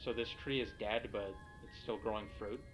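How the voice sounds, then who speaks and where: A man with a fairly low voice plays a game and thinks aloud. His commentary is casual and questioning, full of musing. Music is clearly audible behind him. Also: fairly even intonation, normal speed, low-quality recording. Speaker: video game player in a horror series.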